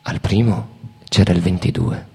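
Narratore dalla voce grave e graffiata. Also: male voice, low-pitched, fairly even intonation, normal speed, creaky, warm tone. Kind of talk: theatrical scripted narration. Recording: reverberant hall. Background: music.

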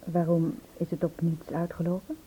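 A woman's voice: fairly low pitch, level tone, moderate pace, dark tone, slightly rough. An actress playing a charming, polite young woman in a radio play, apologetic and hesitant in manner. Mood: gently doubtful. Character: charming young Englishwoman, apologetic, shy and reserved. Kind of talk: Dutch radio play dialogue. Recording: dull old radio recording, music behind. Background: music.

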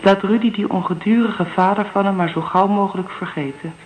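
Actress doing a young divorced mom in an old radio play, tender and candid, mid-range voice. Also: female voice, medium pitch, fairly quick, soft background music, natural voice, rough recording. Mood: wistful. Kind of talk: Dutch radio drama acting.

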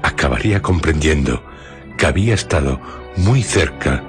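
Very deep Castilian male radio narrator. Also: expressive, moderate pace. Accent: Castilian Spanish. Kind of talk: scripted radio drama narration. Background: music.

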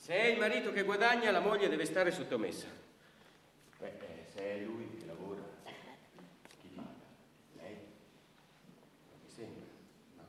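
A man's voice: fairly high pitch, normal speed, pauses often, close to an everyday voice. A man playing a smug chauvinist in a stage monologue, justifying himself and prodding with rhetorical questions. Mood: smug, defensive. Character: smug chauvinist man defending husbands' rule over wives. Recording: distant echoey hall recording, faint noise.

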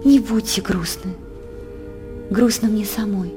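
An actress playing an innocent, devoted young princess, speaking theatrical verse earnestly and formally in a radio play. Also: female voice, medium pitch, takes it slowly, dark tone, faint music behind, natural voice. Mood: tender, sorrowful.

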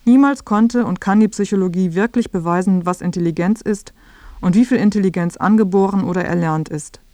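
A woman reading scripted feature narration in a clear, explanatory way. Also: mid-range voice, fairly quick, warm tone, dry recording.

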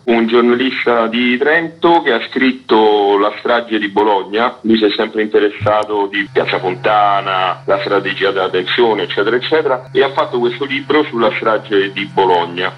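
A man's voice: fairly low pitch, husky, muffled recording. A man speaking as an activist guest in a radio interview, informally running through an event in a plain-spoken way.

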